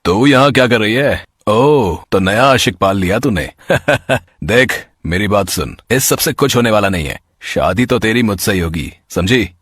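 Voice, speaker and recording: A husky, low-voiced spoiled rich bully, played by a man, in a dry studio sound. He is arrogant, mocking and menacing, a storybook villain. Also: lively intonation, measured pace, fairly bright tone, dry recording, heavily acted. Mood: mocking, threatening.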